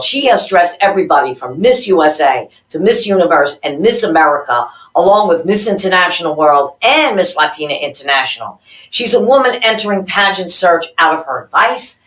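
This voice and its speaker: A woman's voice: fairly low pitch, fairly bright tone, echoey room, rough recording. A woman hosting a show, narrating a recap of her guests with gushing, enthusiastic praise. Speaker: show host.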